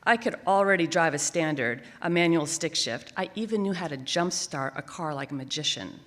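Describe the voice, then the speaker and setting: A woman writer reading a personal essay aloud at a live event, expressive and emphatic. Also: sits low, moderate pace. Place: theater stage at a library, live event. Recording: echoing theater with long reverb.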